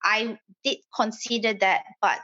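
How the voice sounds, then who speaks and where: A woman's voice: mid-range voice, fairly even intonation, measured pace, bright, forceful tone, husky, muffled recording. A woman from Malaysia, a property developer, speaking as a podcast guest, hesitant with many fillers and restarts.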